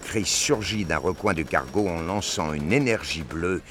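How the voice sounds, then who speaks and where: Really deep guy narrating a scifi audio story, bit of background noise. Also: expressive, normal speed, a bit bright. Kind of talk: scripted narration of a science fiction audio drama.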